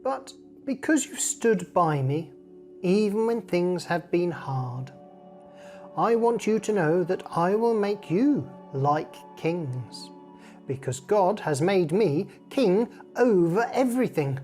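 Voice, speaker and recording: Female storyteller for children's tale. Slow, very expressive, soft music underneath. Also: lowish voice.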